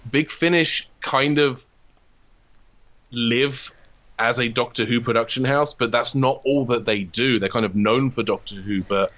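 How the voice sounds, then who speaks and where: Male interview guest, medium pitch, casual, enthusiastic, discursive. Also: measured pace, fairly bright tone. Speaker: interview guest, comedy writer and audio drama producer.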